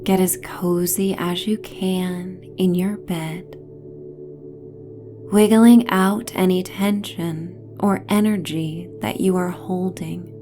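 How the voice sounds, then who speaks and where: A woman guides a bedtime visualization at a very slow, hypnotic pace. Her voice is mellow and relaxed, with a medium pitch and gentle phrases that fade toward a breathy whisper. Soft music plays faintly behind her. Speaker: narrator and host of sleep stories. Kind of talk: guided sleep story narration, slow and hypnotic.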